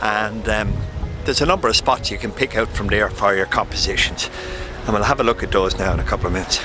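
A man's voice: lowish voice, fairly quick, music in the background. A man giving an informal, explanatory guide to locations as the host of a photography vlog.

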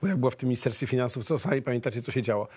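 Joking middle-aged man, husky voice. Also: mid-range voice, very fast. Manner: jokey, animated, acts out imagined dialogue. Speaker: co-host of a satirical politics show. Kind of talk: joking studio commentary. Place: TV studio. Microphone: lapel.

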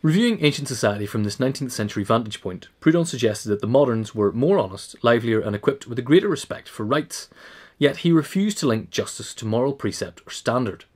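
A man narrating a scripted essay in a formal, measured way. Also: medium pitch, lively intonation, darkish tone, breathy edge. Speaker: reader.

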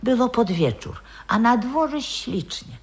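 An actress in a 1960s radio play playing a wistful, proud old grandmother who was once an opera singer, reminiscing in a halting, theatrical way. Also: fairly high pitch, lively intonation, husky, heavily acted. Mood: mysterious.

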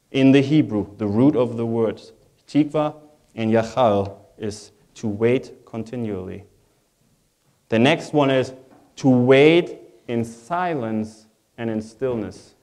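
A man's voice: medium pitch, mellow. A man with a German accent, a native German speaker, preaching a sermon as a pastor in a reflective, conversational way, repeating phrases for emphasis.

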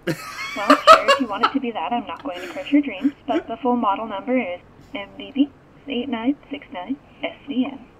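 Over a thin, tinny phone line, a woman handles a customer service call politely. Her voice is on the high side and lively in its rise and fall. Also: fairly quick, a crowd in the background.

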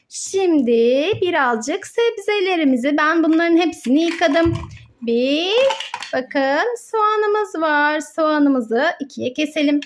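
A woman's voice: sits high, slight background noise. A woman narrating pretend play for children, improvising simply, step by step. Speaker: presenter of children's toy play videos.

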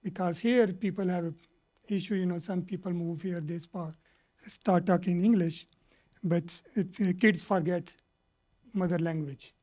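A middle-aged man from Pakistan with a Pakistani accent, a Punjabi native speaker, giving rambling, halting panel remarks full of fillers into a handheld mic in a lecture hall. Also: sits high, steady tone, a little mellow.

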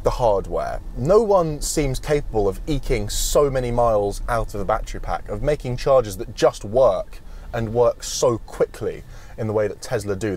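A man's voice: mid-range voice, a little mellow. A young man with a British accent presenting a car review to camera. He talks in a chatty, wry, opinionated way while driving, and later stands outdoors at a charger. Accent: British English. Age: young adult.

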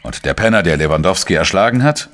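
Very deep-voiced, dogged male sleuth. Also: animated, fast. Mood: insistent, wry. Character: dogged, wry male journalist-sleuth narrating his own case. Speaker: lead actor and first-person narrator. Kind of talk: German crime audio drama, narration and dialogue.

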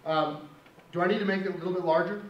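A man's voice: high-pitched, fairly even intonation, fast talker, lo-fi sound. Man lecturing in an echoey hall. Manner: conversational, keeps asking "right?". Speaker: poetry lecturer. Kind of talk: university lecture with a poem reading. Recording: echoey hall from far off, faint hiss.

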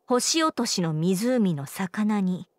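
A woman's voice: medium pitch, lively intonation, takes it slowly, background noise. A woman voicing a responsible, firm but caring female knight commander with formal, composed speech in an anime video game. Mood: stern. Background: background music.

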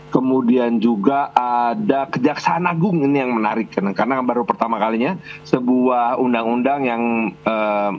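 A man, a member of parliament, answering a TV anchor's question remotely, speaking formally with hesitations and fillers. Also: mid-range voice. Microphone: video call. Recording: dull lo-fi video call line.

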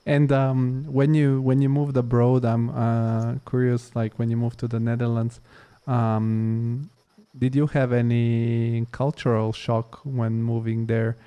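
Guy from italy hosting a chat show, warm relaxed voice, rambly questions with lots of like and um. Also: mid-range voice, takes it slowly, clear, resonant tone. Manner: rambling questions, many fillers like "like" and "um". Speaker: podcast host. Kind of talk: casual interview.

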